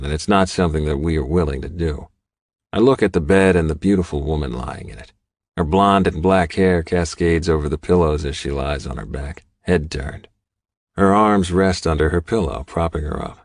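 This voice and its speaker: Male narrator, very low voice, dry close sound, scripted first-person fiction. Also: moderate pace, no echo. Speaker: audiobook narrator.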